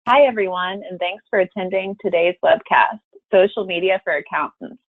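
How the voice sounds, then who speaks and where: A woman's voice: on the low side, normal speed, no echo. A woman who works as a marketing manager opens a webcast with a polished, scripted introduction of the guest speaker. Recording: tinny phone line, dry.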